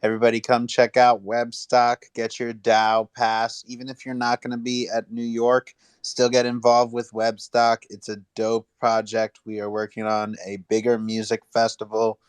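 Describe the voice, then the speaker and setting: Male podcast co-host, slangy plugs. Flat intonation, smooth clear voice. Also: medium pitch, moderate pace, hardly any pauses, dry recording. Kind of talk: casual promotional talk on a live online panel.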